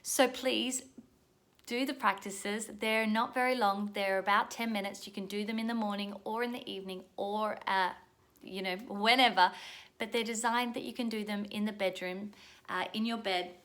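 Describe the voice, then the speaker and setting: A woman whose voice is going hoarse, giving an earnest, passionate, informal talk as a coach, often checking in with a questioning "yeah?". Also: mid-range voice, steady tone, moderate pace, fairly bright tone. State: hoarse, losing her voice. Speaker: pleasure coach. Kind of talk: informal motivational video talk.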